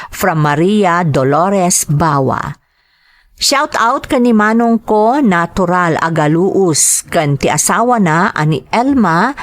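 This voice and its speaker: Ilocano-accented woman, slightly low voice, podcast host, scripted reading, very dry recording. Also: no echo. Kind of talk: scripted narration, reading greetings and letters.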